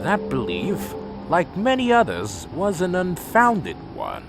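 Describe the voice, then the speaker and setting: A man's voice: high voice, huge pitch swings, slow pace, gravelly, music in the background, exaggerated voice. A man playing a proud, brooding vampire clan leader in an audio drama, speaking in a solemn, imperious, theatrical way. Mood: grave, solemn.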